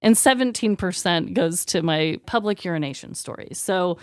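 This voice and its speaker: A woman hosting a podcast in casual comedic banter, with an expressive, irreverent way of talking. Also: on the low side, moderate pace, smooth, no echo. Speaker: podcast host. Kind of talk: casual comedic banter, presenting joke awards.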